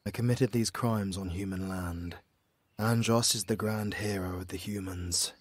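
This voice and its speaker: Guy acting deep solemn demon hunter, noble weary mentor type, slow, fantasy audio drama. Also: fairly even intonation, dry recording. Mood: resigned, solemn. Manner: solemn, measured, hushed at times.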